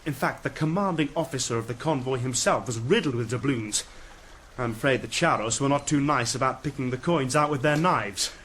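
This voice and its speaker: An actor playing an eager young army officer in a radio drama, reporting in a breezy, matter-of-fact, deferential manner. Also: male voice, mid-range voice, brisk, fairly bright tone, a little hiss or hum, natural voice. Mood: breezy, amused.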